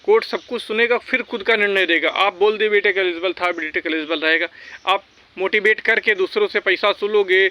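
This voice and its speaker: A man's voice: sits high, fairly quick, pushed and bright, low-quality recording. A man talking informally and with strong opinions straight to his viewers, exhorting them and repeating his points for emphasis. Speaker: vlogger giving recruitment exam updates.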